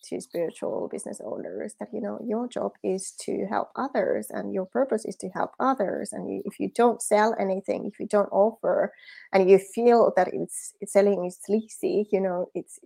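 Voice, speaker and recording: Gravelly-voiced Finnish woman coach. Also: medium pitch, warm tone. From Finland. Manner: earnest, laughs often, repeats words as she thinks. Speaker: guest, spiritual business coach. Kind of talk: casual interview chat.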